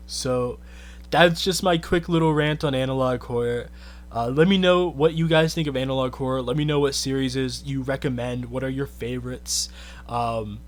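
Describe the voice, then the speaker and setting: A man's voice: medium pitch, slight background noise. A man giving a casual, earnest commentary rant, thinking aloud with lots of "I think" and "you know". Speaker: YouTube commentator.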